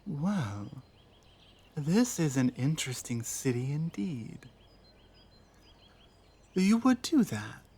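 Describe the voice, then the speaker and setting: A woman voicing an earnest, honor-bound female orc in an ASMR roleplay, formal yet halting in manner. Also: deep voice, sing-song, warm tone, slightly rough, heavily acted. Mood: surprised, moved.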